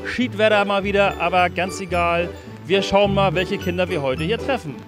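Middle-aged man, street reporter, encouraging, outdoors, clear music in the background. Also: high-pitched, normal speed. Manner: upbeat, encouraging. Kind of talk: friendly vox-pop interviewing of children. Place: outdoors on a city street in rainy weather. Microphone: handheld mic with windscreen. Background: music, street and traffic sounds.

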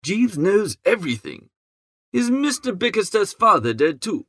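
Man as chatty young English gentleman, audiobook narrator, jaunty upper-class slang, higher-pitched, lively intonation. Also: a little slow, dry recording, studio quality, heavily acted. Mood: breezy, chatty. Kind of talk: scripted first-person audiobook narration.

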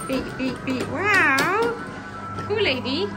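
A woman's voice: high voice, expressive, slow, loud music behind the voice. A woman filming her child on a ride outdoors, chatting cheerfully, asking lots of questions and cheering him on. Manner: cheerful, asks many questions, cheers often. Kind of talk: casual encouraging chat behind the camera. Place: shopping street outdoors by a kiddie ride. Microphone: phone camera held by the speaker.

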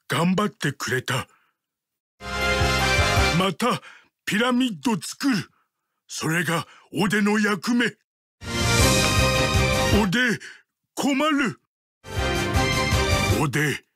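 Simple gentle giant, video game, high-pitched for a man, swooping, childlike phrasing. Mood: earnest, strained. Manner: halting, childlike simple speech. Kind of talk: video game character voice clips. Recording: game music behind.

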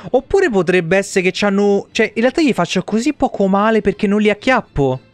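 Highish-voiced male streamer. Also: sing-song, a little fast. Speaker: video game streamer. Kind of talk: casual live gameplay commentary. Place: small indoor room at a desk. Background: game music.

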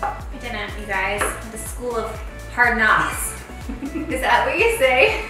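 A woman's voice: on the high side, expressive, slow, bright, forceful tone. A woman in her late twenties chatting casually as a vlogger while cooking in a home kitchen, bubbly and full of "like"s. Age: late 20s. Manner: chatty, bubbly, heavy use of "like". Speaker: lifestyle vlogger. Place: large home kitchen, indoors. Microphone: far camera mic. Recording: echoey kitchen from far off, background music.

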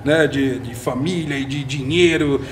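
A man's voice: mid-range voice, measured pace, slight background noise. A young man from Salvador hosting a casual panel chat, talking earnestly and tagging his points with "né". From Salvador, Bahia, Brazil. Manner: earnest, often tags lines with "né". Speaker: podcast host. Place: community center room, seated on sofa with panel.

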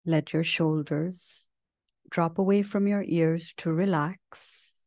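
A woman leading a guided meditation as a teacher, speaking gently and leaving long, unhurried pauses between phrases. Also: fairly low pitch, fairly even intonation, dry recording, studio quality. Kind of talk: guided meditation, slow soothing instruction.

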